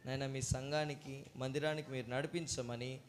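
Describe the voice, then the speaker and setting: A man's voice: mid-range voice, fairly even intonation, normal speed. A man with a preacherly, instructive manner, presenting a children's puppet show and leading prayer. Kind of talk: exhorting and leading children at church. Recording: faint noise, live ambience.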